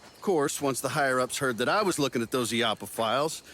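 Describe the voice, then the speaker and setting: A man's voice: medium pitch, brisk, a bit bright, slight background noise, lightly acted. A man playing a cocky middle-aged ex-agency operative in a video game, with a folksy, wisecracking, easygoing manner. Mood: sly, explaining. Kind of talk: cinematic video game dialogue.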